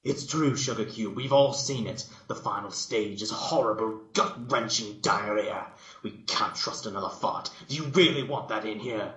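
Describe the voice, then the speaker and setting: Guy doing a down-home country girl pony, folksy and frank, bright, echoey room. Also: fairly low pitch, moderate pace, lo-fi sound, close to an everyday voice. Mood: earnest, persuasive. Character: folksy, matter-of-fact country female cartoon pony.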